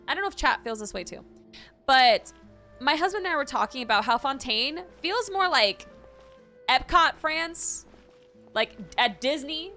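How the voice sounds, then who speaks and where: A young American woman with a bright, fairly high voice reacts as she plays a game on stream. She is bubbly and expressive, rambling in casual chat. Light music runs behind her. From Florida, United States. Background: soft game music.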